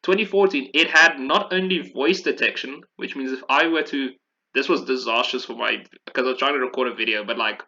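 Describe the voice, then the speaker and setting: Man, very bright forceful voice, casual rambling chat, self-correcting, slightly dull lo-fi recording. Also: medium pitch, a little fast, a little hiss or hum. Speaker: podcast host discussing video games.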